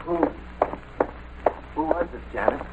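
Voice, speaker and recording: Nervous young fugitive boyfriend, played by an actor, stammering, boyish, tinny and clearly noisy vintage radio drama. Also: male voice, takes it slowly, lightly acted. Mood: anxious. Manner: worried, stammering, boyish slang. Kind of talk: old-time radio drama acting.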